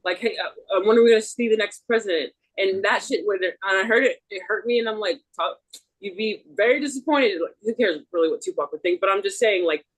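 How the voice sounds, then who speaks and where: A woman's voice: mid-range voice, fast talker, rough recording. A woman chatting casually, with blunt, informal and scattered phrasing. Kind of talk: casual conversational chat.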